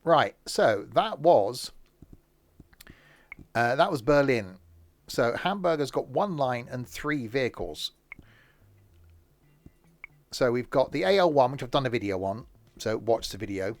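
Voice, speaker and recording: A man's voice: mid-range voice, expressive, unhurried, pauses often. A man streaming a train simulator, chatting casually in a rambling, self-mocking way. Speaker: streamer playing a train sim. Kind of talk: casual gameplay commentary.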